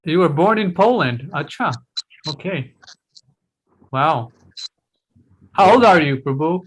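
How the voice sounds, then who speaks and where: A man's voice: a little high, animated, slow, breathy edge, poor audio. A man hosting a casual video-call discussion, chatty and full of questions and fillers. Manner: chatty, asks lots of questions, many fillers. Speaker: host.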